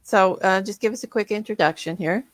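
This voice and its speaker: A woman's voice: medium pitch, brisk, dry recording. A woman moderating an online panel over a video call, talking casually with many fillers and restarts.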